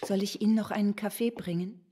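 An actress playing a quiet, deferential household cook in a German audio drama. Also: female voice, mid-range voice, fairly even intonation, quick pace, a little hiss or hum, close to an everyday voice.

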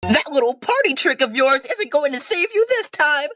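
Very high, manic cartoon creature. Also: sing-song, moderate pace, slight background noise, exaggerated voice. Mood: menacing. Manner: exclamatory, theatrical, taunting. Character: manic, mocking, gleeful cartoon object creature. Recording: loud music behind.

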